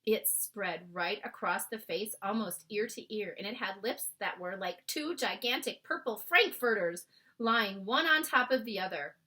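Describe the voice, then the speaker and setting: A woman reads a children's story aloud in a very bright, crisp-edged voice. Her pitch sits in the middle for a woman, and she narrates with occasional short asides to her listeners. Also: measured pace. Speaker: storybook reader. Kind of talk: children's book read-aloud with brief asides.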